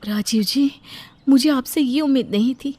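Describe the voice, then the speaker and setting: A woman playing a gentle, earnest and polite young wife in a cartoon story. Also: high-pitched, moderate pace, slightly rough, voice pushed up. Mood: hurt. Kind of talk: Hindi cartoon story voice acting.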